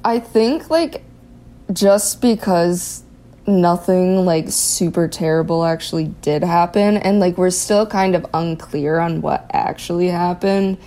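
A young woman talking casually and reflectively in an interview, in a halting, hedging way. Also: fairly low pitch, dark tone. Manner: halting, hedging with many likes. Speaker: interviewee in an audio documentary.

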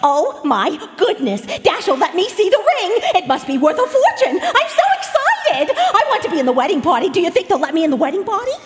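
Very high, gushing socialite. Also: female voice, wildly swooping pitch, a little fast, few pauses, heavily acted. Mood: gushing, excited. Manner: breathless gushing, giggly. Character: gushing, excitable young society woman with loud pipes. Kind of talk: live radio drama acting. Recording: reverberant concert hall stage.

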